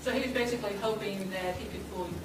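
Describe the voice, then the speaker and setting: A woman's voice: medium pitch, rapid-fire, far from the mic. A woman prosecutor cross-examining a witness in court, insistently demanding yes or no answers. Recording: echoey courtroom audio from afar.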